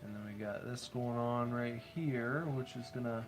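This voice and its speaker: A man's voice: medium pitch, steady tone, moderate pace, low-quality recording. A man in his thirties with a stuffy head cold, rambling playfully while he builds. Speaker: streamer building toys. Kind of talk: casual play-by-play while building. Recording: stream with background music. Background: background music.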